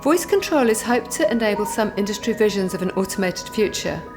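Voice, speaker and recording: Woman narrator for a research film, calm and formal. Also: medium pitch. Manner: measured, formal. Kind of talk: scripted documentary narration. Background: background music.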